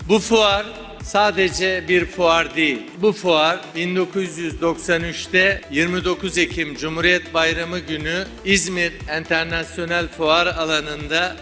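A man's voice: on the high side, steady tone, measured pace, sharp tone, in a large hall, faint music behind. A man giving a solemn ceremonial speech about the fair's history.

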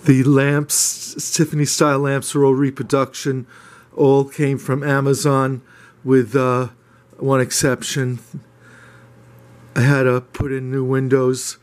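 Flat-voiced man touring his cottage. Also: mid-range voice, takes it slowly. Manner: hesitant, many "uh" fillers and restarts. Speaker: homeowner giving a house tour. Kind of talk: casual walking house tour. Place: small wood-paneled cottage indoors.

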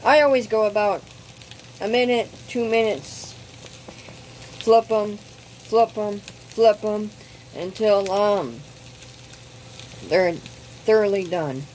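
Slow, hesitant woman cooking outdoors. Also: medium pitch, a little mellow, slight background noise, low-quality recording. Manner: unhurried, hesitant with frequent ums. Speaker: cooking channel host. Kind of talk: casual cooking demonstration. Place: outdoors at a gas grill.

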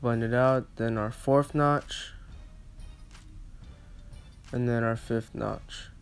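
Casting: male barber for a tutorial voice-over, smooth medium-pitched voice, flat casual delivery, slightly halting phrasing. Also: soft background music. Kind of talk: casual step-by-step instructional narration.

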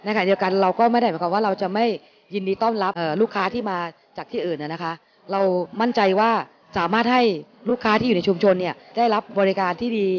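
Middle-aged woman, company director, interview, measured, hesitant fillers, slightly dull sound, faint noise. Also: mid-range voice. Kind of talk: on-camera interview. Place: inside a supermarket. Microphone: handheld mic.

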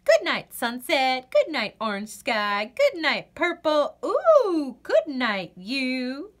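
Woman voicing a chatty, excitable, high-pitched storybook bird. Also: exaggerated voice. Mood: cheery. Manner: gushing, rambling, drawn-out exclamations. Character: chatty, excitable, easily distracted young female bird. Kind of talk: storybook read-aloud.

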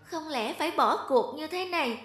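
A woman's voice: sits high, expressive, moderate pace, fairly bright tone, faint music behind, pitched above the performer's own voice. A woman voicing a small, brave, anxious young raindrop boy in a cartoon, earnest and exclamatory. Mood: frightened, doubtful.

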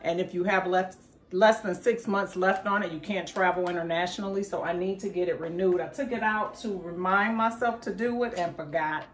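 A woman's voice: lowish voice, fairly quick. A middle-aged woman chatting casually to her viewers in a clean-with-me home vlog, filmed in her bedroom with a camera in front of her. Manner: chatty, friendly direct address to viewers. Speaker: lifestyle vlogger. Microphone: camera in front at close range. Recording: roomy bedroom echo with faint music.

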